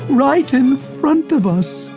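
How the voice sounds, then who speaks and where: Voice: high voice, lively intonation, slow pace, over music, voice pushed up, full character voice. A storybook narrator voicing a timid, fretful young male hamster sidekick. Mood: panicked. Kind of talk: storybook character reading.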